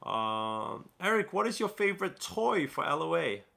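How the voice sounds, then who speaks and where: A man's voice: a little high, big pitch swings, moderate pace, creaky, sharp tone. A man from Newcastle hosting a casual livestream Q&A, reading viewer comments aloud and chatting loosely with plenty of fillers and restarts. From Newcastle, England. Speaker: livestream host.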